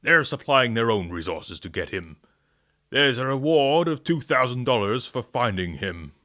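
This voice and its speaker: An officious government man from a western radio drama. The voice is forceful and crisp-edged, with animated intonation. He speaks formally and with stern authority. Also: mid-range voice, moderate pace.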